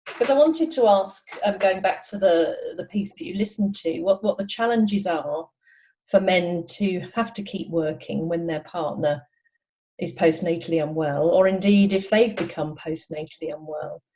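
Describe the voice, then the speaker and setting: Mellow-voiced woman interviewer. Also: on the low side, moderate pace, poor audio.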